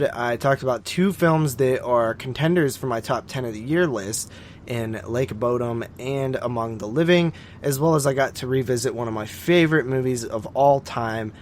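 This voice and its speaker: A man co-hosts a podcast in a medium-pitched voice. He talks casually and loosely, swears freely and likes to tease. His opinions come out in sweeping exaggerations. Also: a little hiss or hum. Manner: profane, teasing. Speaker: podcast co-host.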